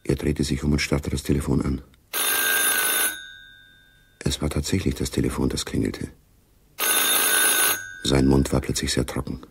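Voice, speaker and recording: A man narrating a radio drama in a sober, measured literary manner. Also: rumbling low, flat delivery, gravelly, heavy background noise.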